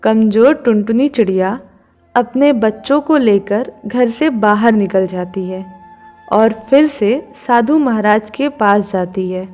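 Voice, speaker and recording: Woman, scripted story narration, slightly high pitch, slow delivery, faint background music. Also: darkish tone.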